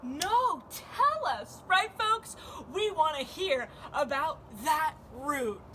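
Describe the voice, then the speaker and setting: A woman playing a loud, excitable talk show host with an emphatic, showy delivery in a mock interview. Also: high-pitched, slow, voice pushed up, full character voice. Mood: excited, showy. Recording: very noisy, distant-sounding home recording.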